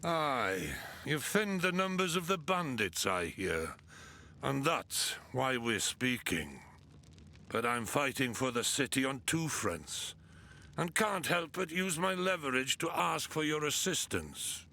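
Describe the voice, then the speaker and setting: An actor playing a stern, weary military commander in a fantasy video game, curt, authoritative and sardonic. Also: male voice, mid-range voice, very animated, takes it slowly, creaky, pushed and bright, heavily acted. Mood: stern, businesslike.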